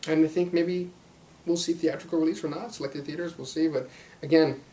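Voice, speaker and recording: A man's voice: on the high side, steady tone, brisk, warm tone. Upbeat young man, rambling chat. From Belgium and Los Angeles. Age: 20s. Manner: upbeat, rambling, laughs often. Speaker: actor guest. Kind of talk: casual interview talk.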